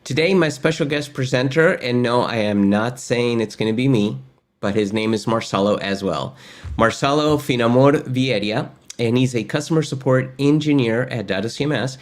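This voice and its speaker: A man's voice: medium pitch, normal speed. A middle-aged man from Argentina, hosting a casual webinar in an upbeat, chatty way with many fillers, recorded at his desk in a home studio. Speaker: host. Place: home studio with gaming chair.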